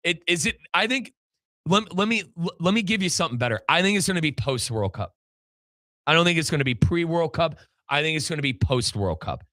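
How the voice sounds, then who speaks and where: Bright-voiced American man talking soccer. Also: a little high, lively intonation, brisk, no echo, clean studio sound. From United States. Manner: informal, chatty, slangy. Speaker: sports podcast host and play-by-play commentator. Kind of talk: casual, animated soccer discussion.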